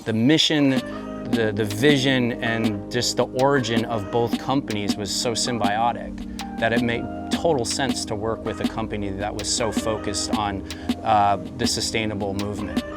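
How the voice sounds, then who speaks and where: Male panelist, halting, low voice, heavy guitar music. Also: fairly even intonation. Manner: halting, repeats words. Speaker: panelist, brand founder. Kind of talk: panel talk. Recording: loud guitar music over voice.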